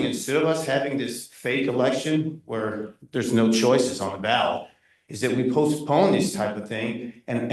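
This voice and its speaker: Resident man, loud and critical, pressing his point; heard from across a very reverberant room. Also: medium pitch. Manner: loud, insistent, critical, with frequent restarts. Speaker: resident giving public comment. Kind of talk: impassioned public comment at a neighborhood meeting. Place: echoey community meeting room. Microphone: room mic at a distance, not speaking into a handheld. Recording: very echoey room, voice far from the mic.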